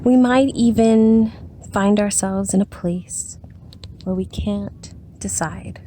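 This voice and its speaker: Woman, meditation guide, very slow pace, mellow tone, soothing, long pauses, light background noise. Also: mid-range voice.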